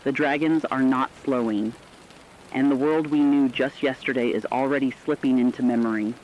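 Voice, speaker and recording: Man acting as solemn radio news co-anchor, mid-pitched, measured eloquent delivery, scripted disaster drama, muffled lo-fi recording. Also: steady tone, a little hiss or hum, close to an everyday voice. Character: solemn radio co-anchor reflecting on a world catastrophe.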